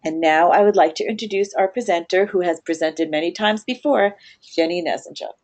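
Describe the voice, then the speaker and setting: A woman hosting a webinar for an alumni office, reading a scripted introduction of the speaker in a measured, formal way. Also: fairly low pitch, darkish tone. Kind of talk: scripted introduction read aloud.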